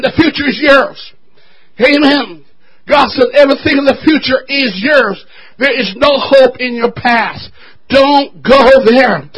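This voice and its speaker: A man preaching an impassioned sermon in church, shouting with his voice breaking from emotion. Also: extremely high pitch, poor audio. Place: church service.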